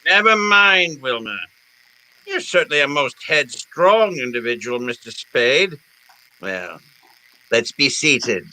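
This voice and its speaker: A man's voice: mid-range voice, very animated, slow pace, sharp tone, slight background noise, heavily acted, low-quality recording. A man playing a genteel, wily crime boss in a radio detective drama, speaking in a pompous, florid, courteous way. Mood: commanding courtesy. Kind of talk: old time radio detective drama acting.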